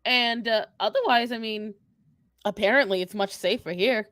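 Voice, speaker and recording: A woman's voice: fairly high pitch, normal speed, a bit bright, dry recording, studio quality, lightly acted. A woman voicing a brash, sassy young woman spellcaster who teases and swears in improvised banter. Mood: dry musing. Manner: cocky, mocking, teasing, profane.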